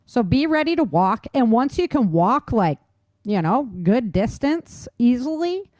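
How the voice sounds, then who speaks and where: A woman's voice: on the high side, sing-song, measured pace, darkish tone, dry recording. Casual woman podcaster, dry close sound. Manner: informal, emphatic, laughs easily. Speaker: podcast host. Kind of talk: casual survival-tips discussion.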